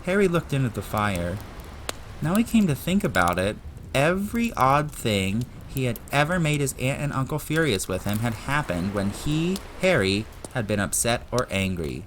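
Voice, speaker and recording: A man delivers dramatic audiobook narration in a bright, forceful voice of medium pitch. Faint ambient effects sit behind the reading. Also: big pitch swings, moderate pace, a little hiss or hum. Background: ambient sound effects.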